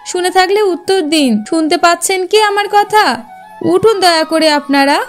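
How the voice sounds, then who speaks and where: A woman's voice: high voice, lively intonation, moderate pace, faint music behind. A woman voicing a kind, spirited young forest girl, earnest and confident, in a cartoon fairy tale. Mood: concerned.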